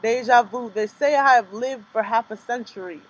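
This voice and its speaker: A young woman performing her own spoken word poems in a rhythmic, measured delivery, with casual chatty asides between pieces. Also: fairly high pitch, rough recording. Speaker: poet performing her work. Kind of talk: spoken word poetry reading.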